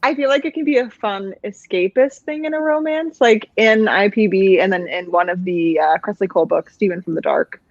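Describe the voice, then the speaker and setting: A woman's voice: medium pitch, fairly quick, few pauses, no echo. A woman in a casual book chat as co-host, musing and a little wry, recorded on dull call audio. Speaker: co-host of a book readalong livestream. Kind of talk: casual chat about books.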